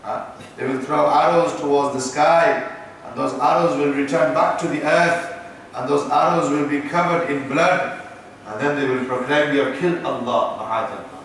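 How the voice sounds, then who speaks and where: Imam lecturing in an echoey room. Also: male voice, on the high side, moderate pace, fairly bright tone, recorded from a distance, a little hiss or hum. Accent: British English. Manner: teasing the audience with asides. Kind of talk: islamic lecture.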